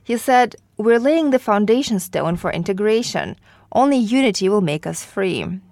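A woman's voice: mid-range voice, animated, normal speed, darkish tone, no echo, studio quality. A woman reading scripted radio news as a newsreader.

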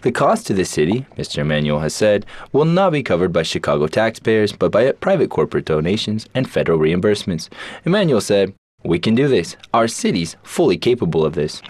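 A man reading scripted news reports in a measured, emphatic way. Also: on the low side, big pitch swings, darkish tone, dry recording. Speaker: news presenter.

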